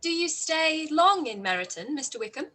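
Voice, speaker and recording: A woman playing a quick-witted young gentlewoman in a period radio play, courteous and arch. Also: sits high, big pitch swings, full character voice.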